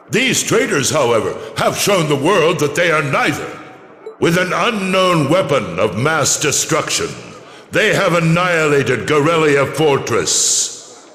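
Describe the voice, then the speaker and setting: An actor playing a proud, commanding chancellor delivering grandiose, deliberate political oratory in a video game. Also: male voice, on the high side, a bit bright. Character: commanding, proud imperial chancellor rallying his nation. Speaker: chancellor giving a public address. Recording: echoey public-address hall reverb.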